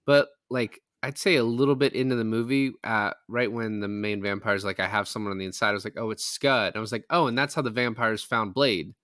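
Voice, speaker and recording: A man's voice: lowish voice, steady tone, brisk, few pauses, a bit bright, no room sound, studio quality. A man in a casual film-recap chat, rambling along and acting out imagined lines as he goes.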